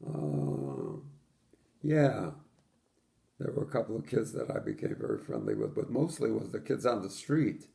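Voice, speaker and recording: A man's voice: fairly low pitch, huge pitch swings, measured pace, creaky, dark tone. An older man from Poland, telling his childhood memories in an oral history interview with dry, matter-of-fact humor.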